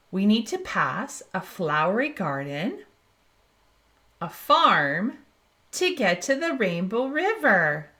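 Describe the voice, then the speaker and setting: Woman playing a young girl explorer, cheerful, encouraging, swooping pitch, slow storybook delivery, asks questions. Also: fairly bright tone, exaggerated voice. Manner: asks the listener questions, then praises the answer. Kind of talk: storybook read-aloud for young children.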